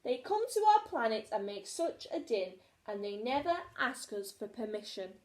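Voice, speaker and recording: A woman voicing grumpy, excitable little green Martians in a children's storybook, plaintive and exclaiming. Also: on the high side, moderate pace, reverberant, poor audio. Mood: fed up.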